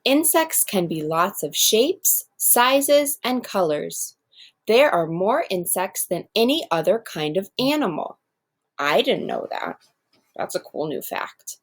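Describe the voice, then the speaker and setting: A woman's voice: mid-range voice, fairly bright tone. A woman teaching first graders in a read-aloud lesson, explaining step by step and speaking directly to her pupils.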